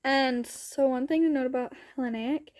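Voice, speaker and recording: A woman's voice: sits high, moderate pace, a little mellow, no room sound. A young woman with a sniffly cold, chatting informally and thinking aloud as she presents a student vlog. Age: young adult. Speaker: student vlogger. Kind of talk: casual chatty vlog walkthrough.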